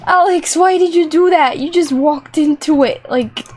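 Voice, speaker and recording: A young woman giving excited, playful gameplay commentary, animated and exclamatory, often repeating words for effect. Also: high-pitched, moderate pace. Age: young adult. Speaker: gaming video host. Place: small indoor room set up for streaming. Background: background music.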